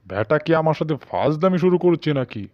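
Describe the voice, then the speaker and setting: A man's voice: fairly high pitch, very animated, fast, no echo. A man voicing a haughty, easily irritated animal king in a cartoon, questioning imperiously, on slightly dull audio. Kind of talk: cartoon dialogue.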